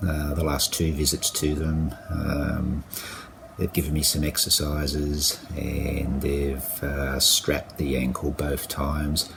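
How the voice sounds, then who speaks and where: A man talking through his injury recovery in a casual video diary, halting and full of fillers and restarts. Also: a bass voice, monotone, vocal fry, noisy background. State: croaky with a cold. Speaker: vlogger.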